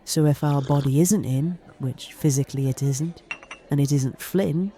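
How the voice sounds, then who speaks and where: An actor playing a methodical senior police detective in an audio drama, clipped and authoritative. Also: male voice, on the high side, moderate pace, dark tone. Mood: deliberate. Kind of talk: naturalistic audio drama dialogue.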